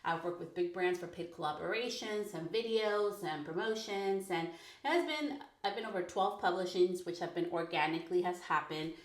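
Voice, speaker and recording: A woman in her thirties hosting a personal podcast, talking frankly and casually, full of "like" and quick laughs. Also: fairly low pitch. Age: 30s. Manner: chatty, frank, peppered with "like", laughs often. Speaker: podcast host. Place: her bedroom recording corner. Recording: echoey home room.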